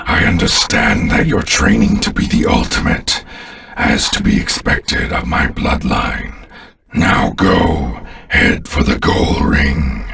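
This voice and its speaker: Game villain: alien commander, extremely low and slow, imperious, scornful of humans. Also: male voice, fairly bright tone, recorded from a distance, heavily acted. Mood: commanding. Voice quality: very deep, gravelly. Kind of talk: video game villain voice lines.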